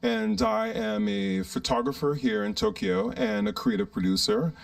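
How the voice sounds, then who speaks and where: A man from Virginia, a photographer and creative producer, answering in a deliberate, easygoing way. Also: sits high, huge pitch swings, creaky, no echo. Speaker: interviewee, photographer. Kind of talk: casual interview answers. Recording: distant, dry sound.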